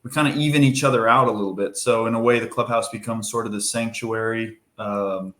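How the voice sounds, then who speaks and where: A man's voice: lowish voice, steady tone, a little fast, a bit bright. Easygoing young man in an echoey room. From Minnesota. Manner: easygoing, rambling with fillers and restarts. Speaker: baseball pitcher guest. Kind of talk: casual podcast interview.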